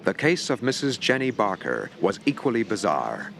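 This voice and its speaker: Man, narrator, low voice, lively delivery, grave mock-documentary tone, music underneath. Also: moderate pace, vocal fry. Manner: grave, dramatic.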